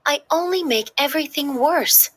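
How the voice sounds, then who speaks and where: A woman's voice: sits high, normal speed, voice pushed up, lightly acted. A woman voicing a gloomy, timid, self-doubting female emotion character in a children's story. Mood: despairing.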